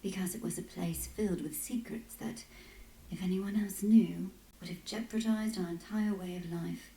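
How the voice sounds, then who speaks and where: A wry woman guide from a fantasy game, played by an actress, with a soft, mellow and rather low voice. She sounds resolute, in a roomy space with faint noise behind her. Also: steady tone, normal speed, natural voice. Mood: grave, explanatory. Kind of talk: video game voice acting.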